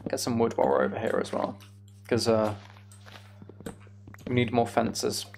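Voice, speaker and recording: A young man giving casual, meandering gameplay commentary on a live stream, thinking aloud and chatting to viewers, with a creaky voice. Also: mid-range voice, flat delivery, moderate pace, darkish tone, slight background noise.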